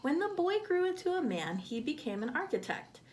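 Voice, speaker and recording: A woman reading a picture book aloud to children, expressively and with deliberate phrasing, as a storyteller. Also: high-pitched, sing-song, a little hiss or hum.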